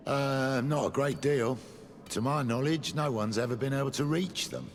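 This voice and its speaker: An actor playing a practical, cautious village miner in a fantasy game, speaking in a plain, measured way. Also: male voice, medium pitch, big-room sound, slight background noise, close to an everyday voice. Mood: obliging. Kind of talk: game dialogue.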